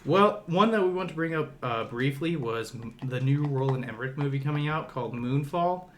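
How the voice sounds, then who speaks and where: A man's voice: fairly high pitch, expressive, fairly quick, slight background noise. A young man hosting a podcast, discussing movies casually and conversationally, often padding his points with small hedges. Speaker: podcast host.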